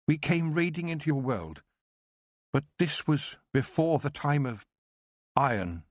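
A man's voice: a little high, sing-song, unhurried, dry recording, voice pushed up. A man voicing a frightened, fallen elf queen who speaks faintly and fearfully.

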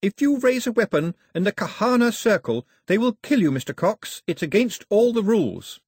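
Man voicing a defiant girl, pitched up. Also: huge pitch swings, fairly quick, darkish tone, husky, dry recording, studio quality, exaggerated voice. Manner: urgent, challenging. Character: bold, defiant, sharp-tongued young girl.

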